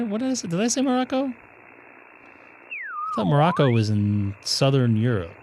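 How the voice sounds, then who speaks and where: A man's voice: mid-range voice, slight background noise, rough recording. A man hosting a ham radio live stream, chatting casually and poking fun at himself. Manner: self-deprecating, rambling. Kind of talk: casual on-air chat.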